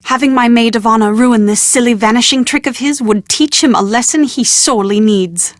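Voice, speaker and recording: An actress playing a well-bred, proud young British bride-to-be in a video game, with prim, articulate, genteel phrasing and exasperated confidence. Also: female voice, fairly high pitch, brisk, no room sound, studio quality, lightly acted. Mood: brisk, requesting. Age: young adult. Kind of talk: scripted video game dialogue.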